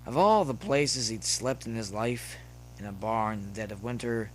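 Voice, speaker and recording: A man reading a comic story aloud as scripted, expressive narration. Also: on the low side, normal speed, a little hiss or hum, poor audio. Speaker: narrator reading fan fiction.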